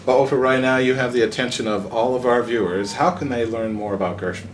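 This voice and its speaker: A man's voice: medium pitch, steady tone, fast. A man hosting an upbeat promotional TV interview, addressing guests and viewers in a presenter's style. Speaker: TV show host interviewing guests. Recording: slightly distant mic, music behind. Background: background music.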